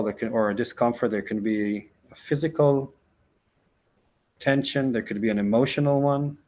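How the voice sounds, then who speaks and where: A rabbi whose first language is Hebrew, teaching a casual class and then leading a slow, gentle guided meditation, full of restarts and "right?" checks. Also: male voice, mid-range voice. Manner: halting, many restarts and "like", "right?".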